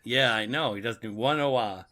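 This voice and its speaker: A man's voice: medium pitch, sharp tone. A man chatting casually as an agreeable podcast co-host. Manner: agreeable, quick to affirm. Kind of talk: casual chat.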